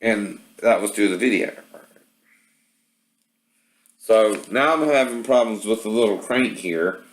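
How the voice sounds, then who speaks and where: An echoey home-video recording of a man with a fairly low voice. He talks casually and rambles through a product demonstration like a vlogger. He keeps checking in with a short "right?" at the end of his statements. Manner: often tags statements with "right?". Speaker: vlogger showing product assembly problems.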